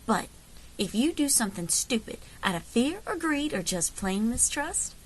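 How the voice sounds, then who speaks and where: A woman playing a confident, folksy frontier leader in an audio drama, wry and commanding, with calm menace. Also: fairly high pitch, moderate pace, husky, rough recording. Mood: cool, commanding.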